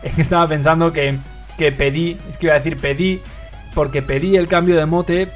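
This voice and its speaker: A man's voice: fairly high pitch, brisk, faint music behind. A young man from Madrid with a Spain Spanish accent, hosting a livestream and commentating a mobile game match with lots of fillers. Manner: excitable play-by-play, many fillers. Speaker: livestream host and caster.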